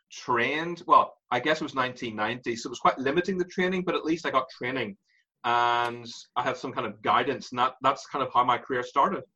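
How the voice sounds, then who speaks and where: A man in his forties with an Irish accent, a guest telling his story in an animated way and laughing through it. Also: mid-range voice, husky, highs cut off. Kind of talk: candid interview storytelling.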